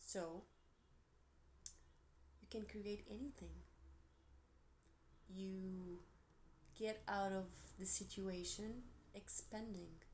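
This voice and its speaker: A woman with a Portuguese accent, gentle and encouraging, giving a tarot reading with soft asides and self-corrections. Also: medium pitch, slight background noise. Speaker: tarot reader.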